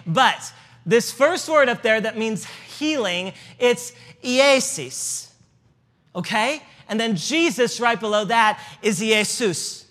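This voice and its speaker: A man with a Midwestern accent lectures to a room in a loose, joking way, full of asides and fillers. His voice is bright and forward. A long hall reverb trails each phrase. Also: very high voice, lively intonation, takes it slowly. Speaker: church history speaker. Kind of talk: lecture with slides.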